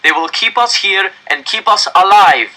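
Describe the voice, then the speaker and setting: A man voicing a desperate male council director in a story, addressing an angry crowd with loud, pleading oratory. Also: high-pitched, expressive, moderate pace, voice pushed up, full character voice, rough recording. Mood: grave, insistent.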